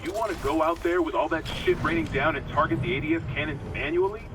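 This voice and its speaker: A man playing a gruff, frustrated starship commander in a sci-fi game. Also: fairly high pitch, big pitch swings, fast, noisy background. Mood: skeptical, resigned. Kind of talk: dramatic sci-fi game acting. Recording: distant, music underneath.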